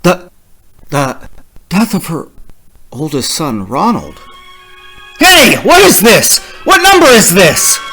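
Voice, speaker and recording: An actor playing a frightened, haunted man traveling alone in a suspense radio play, speaking intensely and haltingly. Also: high-pitched, big pitch swings, bright, forceful tone, soft background music, full character voice. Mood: panicked disbelief. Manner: intense, halting, repeating words. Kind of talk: suspense radio play acting, dramatic narration.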